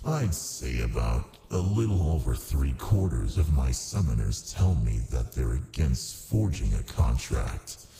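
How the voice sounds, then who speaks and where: A man playing a haughty, seductive ancient archdemon in an ASMR roleplay, with a gravelly, languid, condescending delivery. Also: rumbling low, dark tone, a little hiss or hum, full character voice. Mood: taunting, persuasive.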